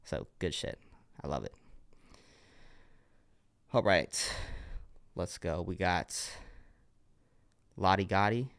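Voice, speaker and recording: A man's voice: low voice, expressive, slow pace, gravelly, long pauses, fairly bright tone, clean tone, no echo. A young man with a congested voice, giving laid-back, encouraging feedback on music submissions during a livestream. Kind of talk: casual livestream commentary.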